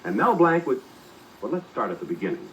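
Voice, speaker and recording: A man's voice: mid-range voice, very animated, a little fast, a little hiss or hum. A man announcing and narrating a 1940s radio show from a script with showy, emphatic delivery. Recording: muffled old radio recording with music.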